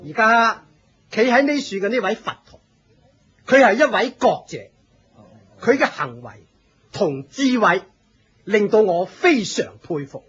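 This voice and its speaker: A man's voice: high voice, big pitch swings, a little slow, pauses often, fairly bright tone, breathy edge, exaggerated voice. A man with a Hong Kong Cantonese accent playing an aging religious leader, proud yet humble, in a theatrical Buddhist radio drama. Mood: hearty, declaiming. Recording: muffled lo-fi radio drama recording.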